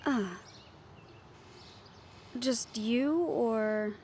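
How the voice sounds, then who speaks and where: An actress plays a woman medium with a high voice. She is friendly and brisk, chatting matter-of-factly as the keeper of a haunted house in an audio roleplay. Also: mellow, a little hiss or hum. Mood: knowing, composed.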